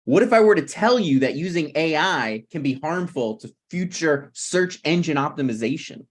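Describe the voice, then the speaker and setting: A man playing a brazen, scheming slacker screenwriter in a table read of a TV pilot, blunt and presumptuous with halting phrasing. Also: a little high, lively intonation, close to an everyday voice. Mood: pushy, conniving.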